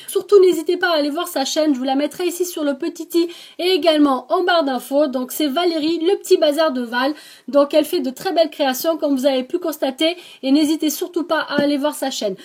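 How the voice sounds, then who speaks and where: A woman's voice: sits high, darkish tone. A woman with an accent, hosting a craft channel, casually showing the crafts she received and thanking the senders, with frequent hesitations. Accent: non-native French accent. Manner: chatty, lots of hesitations and "donc". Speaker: scrapbooking channel host. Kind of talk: casual show-and-tell of craft mail.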